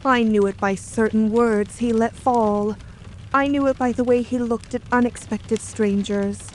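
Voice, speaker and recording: A woman's voice: a little high, fairly even intonation, measured pace, a little hiss or hum, lightly acted, rough recording. A woman playing a composed, guarded English wife of a murdered man in an audio drama, speaking earnestly and formally.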